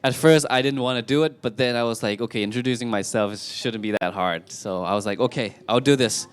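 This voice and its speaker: A young man from Thailand telling his story on stage in a relaxed way, with many fillers and restarts. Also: medium pitch, fairly quick, smooth. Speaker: talk show speaker. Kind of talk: casual storytelling to an audience.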